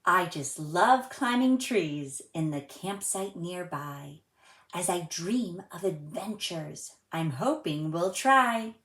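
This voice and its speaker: Female children's read-aloud host, lowish pitch, unhurried and expressive delivery, roomy echo on the recording. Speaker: story time host reading a children's book aloud. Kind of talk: children's read-aloud storytelling and friendly presenting to young viewers.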